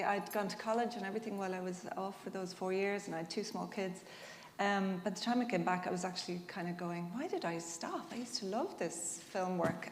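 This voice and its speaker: A woman's voice: mid-range voice, darkish tone. A middle-aged woman introducing herself on a panel in a hesitant, candid way. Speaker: panellist, TV drama director. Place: indoor conference hall. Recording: echoey hall with background noise.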